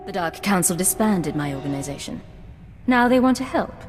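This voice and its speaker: A steely female agent, voiced by an actress, speaks in a fairly low voice. She is composed and terse, a game heroine with faint music behind. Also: lively intonation, normal speed, darkish tone, lightly acted. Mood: cool. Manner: controlled, terse, cool. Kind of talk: scripted sci-fi game acting.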